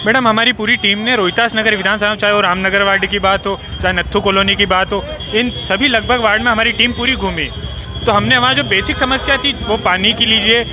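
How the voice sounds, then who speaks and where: Polite male reporter, high voice. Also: fairly even intonation. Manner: polite, slow probing questions. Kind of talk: street interview.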